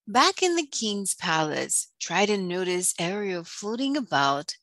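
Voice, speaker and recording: A woman's voice: medium pitch, a little slow, dry recording, studio quality. A woman hosting storytime, narrating expressively for children and speaking to them directly. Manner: expressive, addresses young listeners directly.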